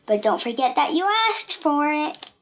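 A plucky little girl from a children's storybook, played by a woman reader. Her voice is high and swoops with expression. She is cheeky and fearless, with a breezy, mock-innocent politeness. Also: lively intonation, moderate pace. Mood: cool, cheeky. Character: plucky, cheeky little red-headed girl, unafraid of bullies.

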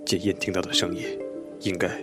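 An actor playing a composed male police detective in an audio drama, explaining things in a measured, methodical, polite way. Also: low-pitched, little pitch movement, loud music behind the voice, close to an everyday voice. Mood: matter-of-fact. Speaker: police investigator questioning a witness.

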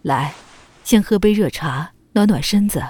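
A woman's voice: mid-range voice, expressive, unhurried, a little mellow, husky. A woman playing a caring middle-aged mother and grandmother in a Chinese costume audio drama, with a motherly, solicitous way of talking.

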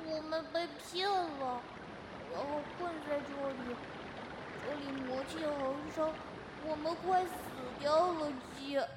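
A woman voicing a timid, childlike little mud monster in a fantasy audio drama, pleading and repeating itself. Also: high voice. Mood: weak, frightened. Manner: drawn-out, stammering repeats, pleading. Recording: echoey, very noisy background.

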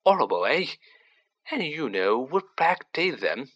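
A storyteller voicing a gossipy, excitable young working-class man who talks colloquially and dramatically, in an audiobook reading. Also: mid-range voice, unhurried. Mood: dramatic, eager. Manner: colloquial, dramatic, dropped grammar, tag questions.

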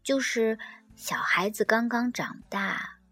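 A woman voicing a very old male golden retriever in a storybook, sly but resigned, with a breathy, whispery, slow and wistful delivery. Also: a little high, expressive, bright, forceful tone, faint music behind, heavily acted.

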